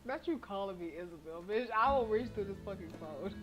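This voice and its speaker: A woman's voice: medium pitch, lively intonation, a little fast. A woman streamer reading a visual novel aloud, dropping blunt, profane jokes between lines, over background music.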